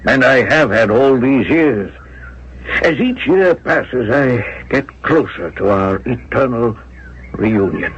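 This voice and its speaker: Husky, haunted old man. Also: medium pitch, lively intonation, exaggerated voice. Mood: rapt longing. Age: older. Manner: halting, emotive, dramatic delivery. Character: haunted old man devoted to his dead beloved, wistful. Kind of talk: 1970s radio drama acting. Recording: muffled 1970s radio broadcast.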